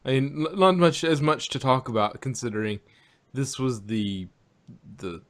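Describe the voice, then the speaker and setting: Man, mid-pitched voice, podcast co-host, casual review chat, wry, halting delivery.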